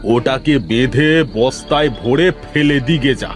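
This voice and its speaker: A man plays a bullying cartoon bandit chief who boasts and barks orders. He draws his threats out slowly in a commanding, booming manner, with faint music behind him. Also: natural voice. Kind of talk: Bengali cartoon voice acting.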